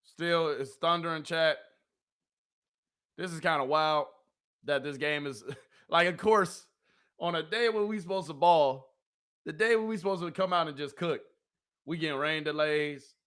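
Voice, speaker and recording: A male stream host with a hoarse, worn voice pitched a little high. He talks to his chat in loose, animated bursts, circling back to the same catchphrases again and again. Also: measured pace, pauses often. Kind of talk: casual watch-party chat.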